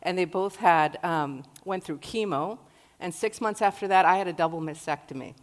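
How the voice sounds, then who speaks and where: A woman in her forties speaks earnestly to an audience, her voice sitting a little low for a woman. Her talk carries a long reverb from the large hall around her. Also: moderate pace. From Iraq. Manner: earnest, warm-hearted storytelling. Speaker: guest speaker.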